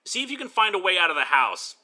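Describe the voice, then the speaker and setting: A man's voice: high voice, very animated, fast talker, pushed and bright, exaggerated voice. A man playing the take-charge leader of a group of friends in an amateur horror comedy, speaking emphatically and giving directions. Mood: urgent, practical.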